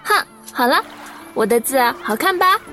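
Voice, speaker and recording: Woman playing a high-voiced, playful young maiden. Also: big pitch swings, moderate pace, pushed and bright, heavily acted. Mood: teasing. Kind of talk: Chinese costume radio drama. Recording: distant voice, music behind.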